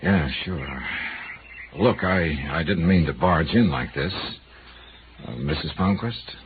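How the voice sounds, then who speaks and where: An actor playing a wry, worldly insurance investigator with clipped hardboiled delivery in a 1950s radio drama. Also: male voice, very deep voice, lively intonation, takes it slowly, slight background noise, exaggerated voice. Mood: gentle, hesitant. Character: wry, worldly male freelance insurance investigator narrating his case. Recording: muffled, band-limited 1950s radio recording.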